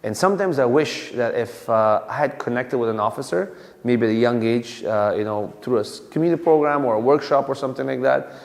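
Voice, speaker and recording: A man's voice: medium pitch, brisk, gravelly. A man in his thirties from Afghanistan, a police officer giving an informal community talk in a conversational way with many fillers and restarts. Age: 30s. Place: community hall. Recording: long hall reverb.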